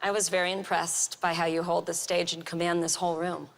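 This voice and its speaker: A woman hosting a TV magic show, enthusiastic and quick to react. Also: fairly low pitch, flat delivery, creaky. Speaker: host. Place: TV studio with live audience. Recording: loud studio audience and noise.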